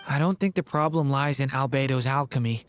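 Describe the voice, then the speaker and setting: An actor voicing a gentle, earnest, hesitant young man in a video game. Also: medium pitch, a little fast, close to an everyday voice. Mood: subdued, earnest. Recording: background music under voice.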